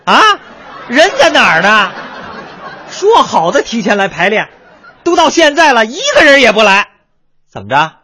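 A fussing Beijing comic. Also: male voice, sits high, sing-song, bright, forceful tone, a little hiss or hum, full character voice. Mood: pleading. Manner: rapid comic patter, fussing and fawning. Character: harried male crosstalk comic and teahouse boss. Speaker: comedian. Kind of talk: radio crosstalk drama.

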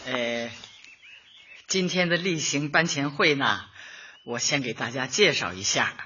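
A woman playing a warm older community Party secretary in a radio drama, chatty and homely, often chuckling and repeating herself. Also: a bass voice, lively intonation, unhurried, slightly rough, muffled recording, exaggerated voice. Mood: cheerful, welcoming. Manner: chuckling, hesitant fillers, repeats words in homely colloquial talk.

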